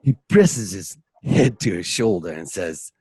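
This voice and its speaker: A man's voice: low-pitched, expressive, unhurried, dark tone, breathy edge, dry recording. A man narrating an amateur radio drama, reading out scene changes and stage directions.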